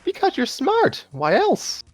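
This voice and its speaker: Man putting on an excitable, swooping young woman. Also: extremely high pitch, slow pace, dry recording, faint music behind, pitched above the performer's own voice, exaggerated voice. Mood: eager, friendly. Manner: breezy, eager, animated. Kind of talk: story character reading.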